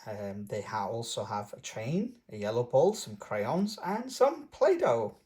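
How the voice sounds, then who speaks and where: A woman narrates a picture book for small children. Her pitch is very lively and her pace slow, and she adds playful little comments in simple phrases. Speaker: storybook reader and channel host. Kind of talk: read-aloud narration with playful asides.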